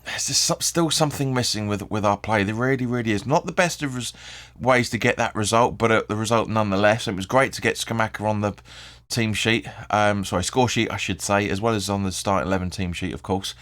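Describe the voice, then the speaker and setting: Croaky hoarse guy, kinda low voice, rambling fan chat, swears a lot, keeps correcting himself. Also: brisk, fairly bright tone. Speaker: football fan channel host. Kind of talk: casual post-match video commentary.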